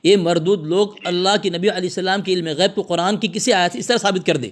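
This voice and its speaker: High-pitched combative man, religious debater. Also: steady tone, fairly quick. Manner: combative, abusive, lecturing; repeats phrases emphatically. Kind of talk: polemical religious argument over a phone call.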